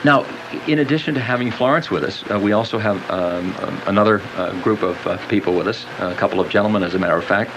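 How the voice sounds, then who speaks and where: A man's voice: lowish voice, quick pace, muffled recording. A man hosting a radio interview in a conversational way, with hesitant, roundabout questions. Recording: noisy home cassette of radio broadcast.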